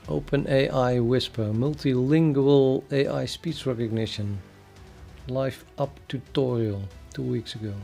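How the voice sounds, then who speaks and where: Gravelly Dutch-accented man thinking aloud. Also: mid-range voice, steady tone, warm tone, soft background music. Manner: thinking aloud, halting with many fillers. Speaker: programming livestreamer. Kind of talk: casual live-stream chat.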